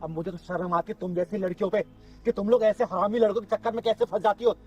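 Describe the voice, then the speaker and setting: A man's voice: high voice, fairly even intonation, fast talker, a little mellow, lo-fi sound, soft background music. A man acting a fierce, protective kinnar elder who scolds, threatens and boasts in a melodramatic short film. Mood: threatening, stern. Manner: scolding, threatening, boastful, speaks of self by name. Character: Chingari, fierce kinnar (transgender woman) avenger, protective elder.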